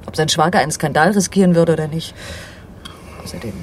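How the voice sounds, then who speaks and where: A woman's voice: sits low, fast, slight background noise, natural voice. An actress as a seductive, cunning swindler woman. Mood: confiding, coolly casual. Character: seductive, cunning female swindler confessing to a detective. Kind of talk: 1980s German radio crime drama acting.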